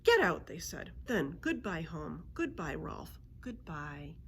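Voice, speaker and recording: A woman's voice: low-pitched, very animated, takes it slowly, creaky, a bit bright, a little hiss or hum, full character voice. A woman voicing an anxious, clumsy, childlike male griffin from a children's storybook, fretfully repeating short simple phrases. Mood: sorrowful.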